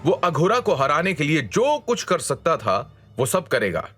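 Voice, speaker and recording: A man's voice: on the high side, wildly swooping pitch, normal speed, a bit bright, faint music behind. A man narrating a scripted dramatic story as a storyteller, in a suspenseful manner.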